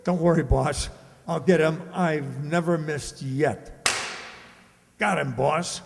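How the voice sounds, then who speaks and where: Man as a high-voiced jumpy gangster henchman. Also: fairly even intonation, slow, vocal fry. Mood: urgent. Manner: anxious, urgent, blunt tough-guy talk. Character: nervous, loyal male gangster henchman, jumpy and superstitious. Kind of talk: old-time radio drama acting. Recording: hollow reverb with background noise.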